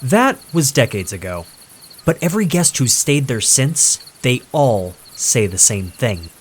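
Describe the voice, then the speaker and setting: A cocky young male ghost hunter, as a male narrator plays him, hyping his livestream in a showy storyteller voice. The delivery swings widely in pitch over faint night insects, in the manner of a horror storybook. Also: big pitch swings, a little slow, a little hiss or hum. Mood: dramatic storytelling. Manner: showy, confident, dramatic storyteller.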